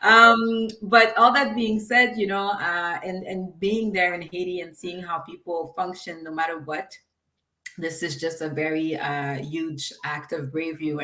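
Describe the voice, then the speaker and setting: Canadian woman guest, laughs a lot, lowish voice, roomy echo. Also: fairly even intonation, unhurried. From Quebec, Canada. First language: French. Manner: laughs often, warm and chatty. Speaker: singer-songwriter guest. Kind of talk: casual interview chat. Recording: echoey room.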